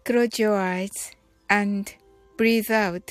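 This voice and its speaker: Casting: female meditation guide, calm and deliberate, very unhurried pace, mid-range pitch, soft music underneath. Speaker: host of a mindfulness and English audio show. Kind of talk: guided meditation in two languages, slow and measured. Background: background music.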